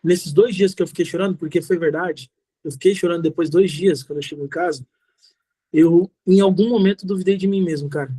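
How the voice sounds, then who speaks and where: High-voiced Brazilian man, emphatic speaker. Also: a little fast, dark tone, slightly rough, dry recording, rough recording. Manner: emphatic, repeats key phrases, informal and direct. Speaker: guest speaker, social entrepreneur. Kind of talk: motivational talk answering audience questions.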